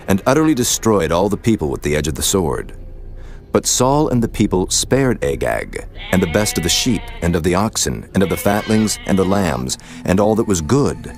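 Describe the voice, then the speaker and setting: A man narrating scripture in a solemn, steady storytelling manner. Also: deep voice.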